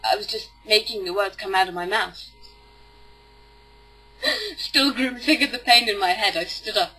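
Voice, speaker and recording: A woman's voice: mid-range voice, very animated, moderate pace, pushed and bright, husky, soft background music, low-quality recording. A woman giving a theatrical, sarcastic dramatic reading of a novel as an amateur narrator. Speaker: amateur book reader. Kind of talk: mock-dramatic audiobook narration.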